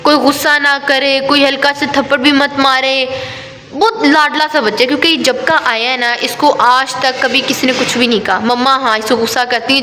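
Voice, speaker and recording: A woman's voice: high voice, background noise. A woman narrating a casual home vlog in a chatty, affectionate way, often talking to her cats. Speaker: vlogger.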